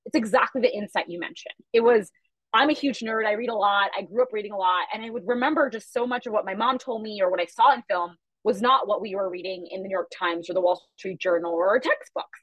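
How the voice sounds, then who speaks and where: A woman's voice: medium pitch, no echo, rough recording. A woman guest in a casual podcast interview, talking animatedly and emphatically about her company and upbringing. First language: Chhattisgarhi. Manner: fast, animated, emphatic storytelling. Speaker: guest, media company founder.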